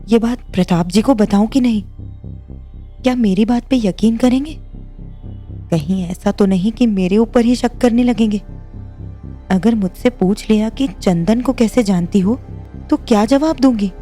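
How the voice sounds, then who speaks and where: A woman's voice: mid-range voice, warm tone, close to an everyday voice. A woman playing a worried, grieving young wife. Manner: worried, tearful, questioning. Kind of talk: audio drama acting.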